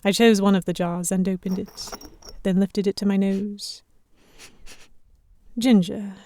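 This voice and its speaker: A young, observant heroine played by a woman tells a pirate tale in the first person. Her voice is mellow and medium in pitch. The delivery is measured, literary scripted narration for an audio drama. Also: fairly even intonation, no room sound, close to an everyday voice. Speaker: narrator and lead actor.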